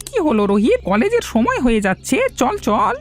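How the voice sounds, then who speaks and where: A man playing an excitable young student son in a cartoon, given to protest and pleading. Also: very high voice, a little fast, soft background music, heavily acted. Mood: hurried. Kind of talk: Bengali cartoon voice acting.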